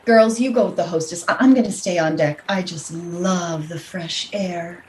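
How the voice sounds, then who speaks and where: A woman's voice: lowish voice, normal speed, darkish tone, close to an everyday voice, poor audio. A woman playing a seductive, confident, scheming mistress in a radio play. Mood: breezy. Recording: faint background noise.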